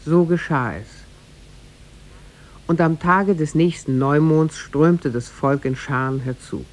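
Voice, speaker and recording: A man narrating a tale in a 1950s German radio play, formal and measured. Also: fairly high pitch. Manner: formal, measured storybook delivery.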